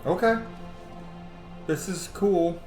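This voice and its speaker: A man's voice: sits high, takes it slowly. A man commenting casually on a game he is playing, informal and muttering his reactions. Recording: roomy echo, game music behind.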